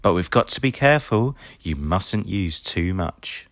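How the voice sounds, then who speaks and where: A man voicing a kind, careful woman who runs a pet parlour in a children's storybook, gently instructive. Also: low-pitched, expressive, unhurried, no room sound, exaggerated voice. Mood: friendly, careful.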